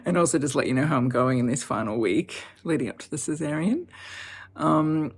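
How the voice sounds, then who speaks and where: A heavily pregnant woman in her fifties talking off the cuff in a personal vlog, chatty and animated, laughing often. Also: deep voice, slightly rough. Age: 50s. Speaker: vlogger.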